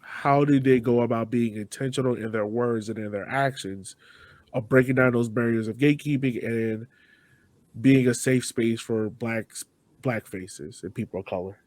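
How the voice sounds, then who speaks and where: A man with a mid-range voice in a dry, close recording. He hosts a podcast, putting questions conversationally and choosing his phrasing with deliberate care. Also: medium pitch, darkish tone, dry recording. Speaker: podcast host, interviewer. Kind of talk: conversational interviewing.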